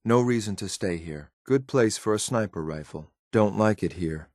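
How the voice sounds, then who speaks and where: Man playing a deep-voiced, deadpan game soldier. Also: normal speed, no room sound, studio quality, close to an everyday voice. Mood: cold, disdainful. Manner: clipped, deadpan, disdainful. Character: grim synthetic male soldier, cold, disdainful of the wasteland. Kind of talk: scripted video game companion lines.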